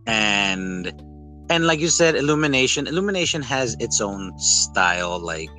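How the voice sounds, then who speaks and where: Bright-voiced man chatting over guitar music. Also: fairly low pitch, measured pace. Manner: rambling, animated retellings with acted-out quotes. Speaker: podcast host. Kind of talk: casual chat reviewing a film.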